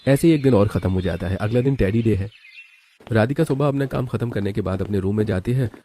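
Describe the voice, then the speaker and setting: A man's voice: on the low side, brisk, warm tone. A man reading scripted narration for a story.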